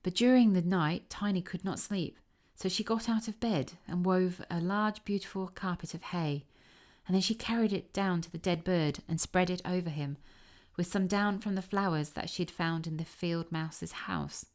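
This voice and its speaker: A woman reading a classic fairy tale aloud as a storybook narrator, expressive and careful. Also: on the low side, normal speed.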